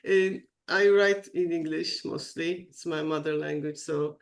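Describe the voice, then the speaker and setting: A woman co-hosting a spiritual podcast on a video call, speaking haltingly as she searches for her words. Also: low voice, steady tone, vocal fry. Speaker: co-host. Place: at a home computer.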